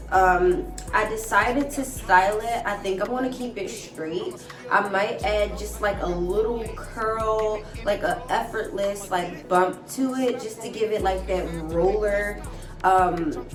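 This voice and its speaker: A woman chatting through a hair tutorial for her viewers, upbeat and casual, often saying "guys" and "like", her nose slightly stuffy from a cold. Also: mid-range voice. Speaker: hair and wig vlogger. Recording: roomy, mic at a distance, music behind. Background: background music.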